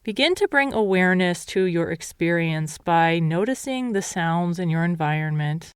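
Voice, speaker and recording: A woman meditation teacher leading a guided meditation in a calm, measured, instructional way. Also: on the low side, clean tone, dry recording, studio quality.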